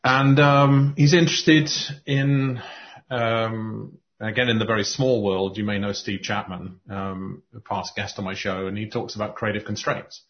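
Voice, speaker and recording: A man hosting a podcast conversation, talking in animated spurts and often restarting his sentences. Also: lowish voice, a little slow. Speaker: podcast host and coach. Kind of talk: casual conversation. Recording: muffled lo-fi audio.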